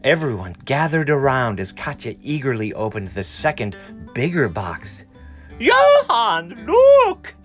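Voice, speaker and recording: A man reading a storybook aloud in an expressive, dramatic and deliberate way. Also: medium pitch, soft background music. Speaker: story reader.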